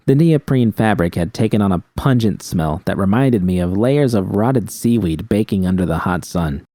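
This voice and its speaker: Low, mellow male narrator in a dry recording. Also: no room sound. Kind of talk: scripted first-person fiction narration.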